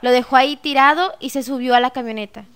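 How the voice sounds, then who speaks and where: Actress as a high-voiced Mexican woman eyewitness. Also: fast, fairly bright tone, clean tone. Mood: grave recollection. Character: woman shopkeeper recalling a fatal shooting as eyewitness. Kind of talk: radio drama testimony.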